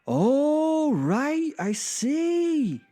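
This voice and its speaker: A man voicing a naive, flustered, slow-witted male werewolf in an audio drama, hesitant and bewildered. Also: very high voice, wildly swooping pitch, mellow, pitched above the performer's own voice, exaggerated voice. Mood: sudden realisation.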